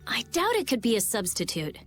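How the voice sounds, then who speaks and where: A woman's voice: a little high, huge pitch swings, a little fast, a bit bright, faint music behind. An actress playing a brusque, impatient teenage girl genius in a video game, blunt and snappy in delivery. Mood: intent. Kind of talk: animated video game acting.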